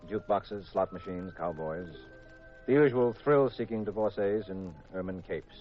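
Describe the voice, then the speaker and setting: An actor playing a cool, self-assured private detective in a 1950s radio drama, composed and clipped on a vintage recording. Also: male voice, low voice, normal speed, dull audio, soft background music, close to an everyday voice. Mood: wry, narrating. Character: cool, self-assured married male private detective, narrating his case.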